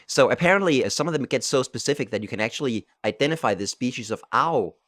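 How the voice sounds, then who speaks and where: A man co-hosting a podcast, telling stories in a halting way, with many fillers. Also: medium pitch, animated, fairly bright tone, dry recording, clean studio sound. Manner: hesitant, many uh fillers, storytelling. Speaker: podcast co-host. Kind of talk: casual podcast chat.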